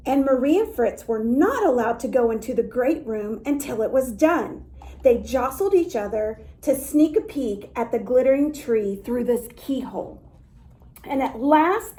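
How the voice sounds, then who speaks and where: A woman reading a story aloud to young children in an expressive way, with little asides to her listeners. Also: on the high side. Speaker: storytime reader for a dance academy.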